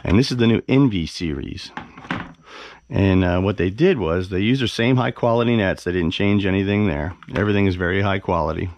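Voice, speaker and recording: A man's voice: low voice, a little fast, darkish tone. A man giving a casual, unscripted product review as an enthusiastic, plain-spoken host who repeats words for emphasis. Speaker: host of a fishing gear review channel. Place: carpeted living room at home, indoors.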